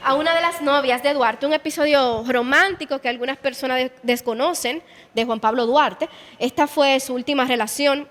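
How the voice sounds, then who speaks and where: A woman's voice: high-pitched, a bit bright, big-room sound. A woman guiding a museum tour, explaining history and quoting dialogue. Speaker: museum guide. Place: indoor exhibition hall.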